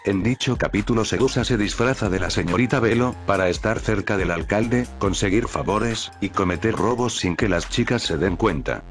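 A man's voice: lowish voice, normal speed, few pauses, faint music behind. A man reading scripted narration as the narrator of a countdown video. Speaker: narrator of a cartoon top-list video.

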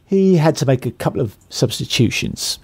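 Eager, lively-pitched pub owner. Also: male voice, moderate pace, breathy edge. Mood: awkward. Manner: accommodating, upbeat. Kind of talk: comedy audio drama acting.

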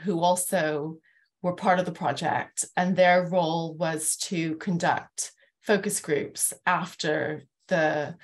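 Middle-aged woman with a lowish voice. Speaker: host and moderator. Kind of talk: online panel discussion. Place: home room, indoors. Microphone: video call.

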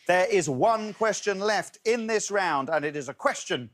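A man's voice: high voice, expressive, moderate pace, a bit bright. A man in his fifties hosting a children's game show in a TV studio, upbeat and jokey. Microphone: lapel mic.

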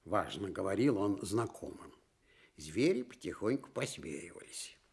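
Gravelly, slow male storyteller. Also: sits low, lively intonation, a little mellow. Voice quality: gravelly, husky. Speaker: narrator. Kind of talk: expressive children's fairy tale storytelling.

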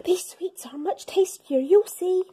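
A woman's voice: very high voice, measured pace, dark tone, pitched above the performer's own voice. A woman voicing an eager, polite small boy who explores space in a children's storybook. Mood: apologetic, nervous.

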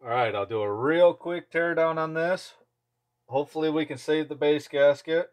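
A man's voice: on the high side, normal speed. A man giving a casual hands-on workshop demonstration as a mechanic, speaking offhandedly in short phrases while he works. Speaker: chainsaw mechanic and video host. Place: indoor workshop bench. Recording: clear background noise, clicking tool handling.

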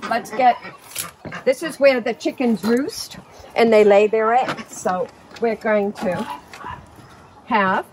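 A middle-aged woman hosts a children's show-and-tell in a high voice. She takes her time, explaining simply and haltingly. It is recorded outdoors, with clear background noise. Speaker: children's farm storytime host. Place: outdoors in a backyard farm pen. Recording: noisy outdoor recording with clicks.

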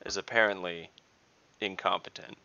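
A young man playing a tabletop game on a video call, speaking slowly and deliberately with fillers. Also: low-pitched, steady tone, creaky, pushed and bright, a little hiss or hum. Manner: slow, deliberate speech with fillers. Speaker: player. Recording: dull video call audio.

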